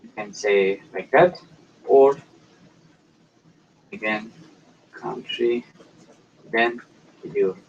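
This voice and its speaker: A man teaching a language lesson, explaining step by step in a hesitant, halting way with many pauses. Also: lowish voice, a little hiss or hum. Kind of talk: slow explanatory lecture. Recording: dull lo-fi recording.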